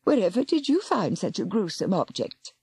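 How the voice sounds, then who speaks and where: A woman voicing a fussy, easily frightened older woman who squeaks and whines in a flustered way. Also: huge pitch swings, moderate pace, a little mellow, breathy edge, no room sound, clean studio sound, exaggerated voice.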